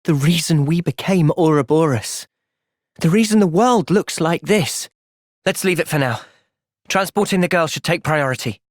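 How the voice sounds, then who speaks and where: A man playing a gentle, thoughtful young soldier hero in a video game, earnest and reflective in manner. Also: on the high side, moderate pace, no echo, studio quality, natural voice. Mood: calm, earnest. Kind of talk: video game cutscene voice acting.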